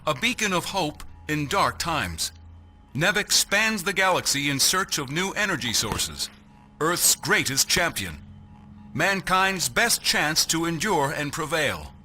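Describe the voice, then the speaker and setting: An actor playing a slick, grandiose corporate announcer reading a promotional advertisement. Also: male voice, fairly high pitch, very animated, a little slow, pushed and bright, soft background music, exaggerated voice. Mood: grand, upbeat.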